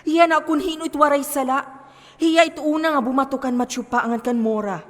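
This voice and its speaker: A woman's voice: high voice, steady tone, fairly quick, lightly acted. A woman with an Eastern Visayan Filipino accent acting a preachy, commanding moral adviser in an amateur stage play, emphatic and lecturing. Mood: admonishing. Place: theatre stage in a hall. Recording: long echo of a hall.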